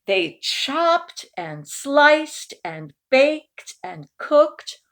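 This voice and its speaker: A woman reading a children's picture book aloud in an expressive storytelling manner, with informal asides. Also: sits high, very slow. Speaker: storybook reader.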